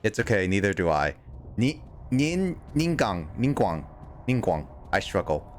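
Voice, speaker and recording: A man's voice: on the low side, very animated, measured pace, a little hiss or hum. A young man streaming a video game and chatting casually with his viewers, rambling, mocking himself and stumbling over names. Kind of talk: casual livestream chat with viewers.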